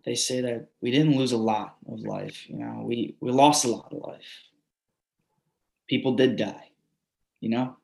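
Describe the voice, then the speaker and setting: A man's voice: mid-range voice, unhurried, gravelly, pauses often, darkish tone, rough recording. An actor playing a grateful male storm survivor in a readers theatre monologue, often saying "you know". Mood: reflective. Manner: reflective, repeats "you know".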